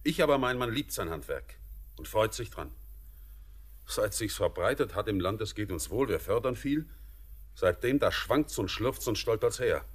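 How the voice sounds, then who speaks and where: Stern mining foreman with feeling, low voice, declamatory in classic radio play. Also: animated, moderate pace. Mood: gruff, dismissive. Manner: declamatory, earnest. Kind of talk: poetic German radio play acting.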